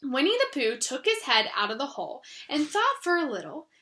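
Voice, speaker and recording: A woman reading a children's story aloud with an expressive manner and soft asides. Her voice is high and bright. The room gives a noticeable echo. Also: a little fast. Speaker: storybook reader.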